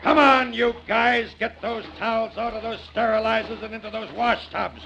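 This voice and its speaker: An actor playing a gruff, bossy prison guard who gives curt, commanding orders in a 1940s radio crime drama. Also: male voice, very high voice, fairly even intonation, normal speed, dull audio. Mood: barking, impatient. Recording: noisy old 1940s radio recording.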